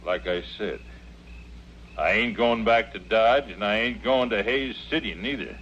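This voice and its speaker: A man's voice: fairly low pitch, a little slow, lightly acted. A man playing a defiant, sardonic outlaw with a rural American accent, taunting and stubborn, in an old-time radio western. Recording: muffled vintage radio drama, hissy.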